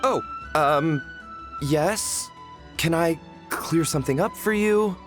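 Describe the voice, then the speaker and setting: A man's voice: fairly high pitch, slow pace, soft background music. A man playing an earnest, deferential villager in a video game, hesitant and polite.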